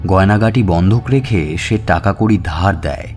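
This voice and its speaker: A man playing a police detective who narrates his investigation in measured, suspenseful audio-story style. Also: sits low, a little mellow. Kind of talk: dramatized scripted first-person narration. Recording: music bed under the voice. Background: background music.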